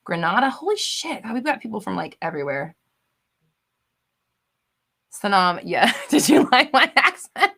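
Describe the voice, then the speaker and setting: A woman's voice: medium pitch, big pitch swings, normal speed, vocal fry, pauses often, pushed and bright. A woman hosting a casual live stream, reading viewer comments aloud with a bubbly, laughing manner. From United States. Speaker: livestream host.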